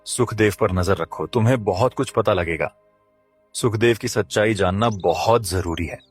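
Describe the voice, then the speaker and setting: A man's voice: lowish voice, normal speed, slightly rough, dry recording, soft background music. A man voicing a mysterious, solemn cursed kite bird in a cartoon story, speaking in an earnest, portentous way. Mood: cryptic warning.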